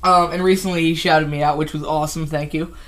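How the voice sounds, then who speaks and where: A man's voice: on the high side, little pitch movement, quick pace, fairly bright tone. Rambling fifteen-year-old boy vlogger. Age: teens. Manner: rambling, with many ums and self-corrections. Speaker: toy collector vlogger. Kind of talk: casual collection update vlog.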